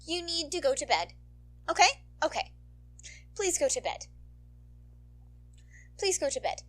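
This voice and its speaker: A woman's voice: high voice, big pitch swings, unhurried. A young woman chatting casually to her listeners as a channel host, in a playful, rambling, bubbly manner. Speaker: podfic reader and channel host.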